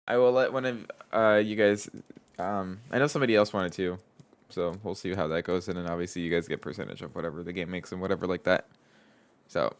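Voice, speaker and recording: A man's voice: low voice, clean tone. Stuffy-nosed man chatting while coding. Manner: thinks aloud, reads chat aloud, trails off and restarts. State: stuffy nose. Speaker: streamer and game developer. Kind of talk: casual live-stream chat while coding.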